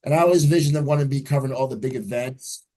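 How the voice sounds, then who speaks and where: A man's voice: mid-range voice, very fast, mellow, poor audio. A man in his forties from New Jersey, a radio news anchor telling his story as an interview guest in an upbeat, fluent way over a video call.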